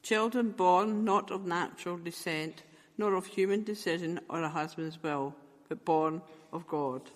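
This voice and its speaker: A man reading scripture aloud in a measured, careful way. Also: high-pitched, big-room sound. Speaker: scripture reader.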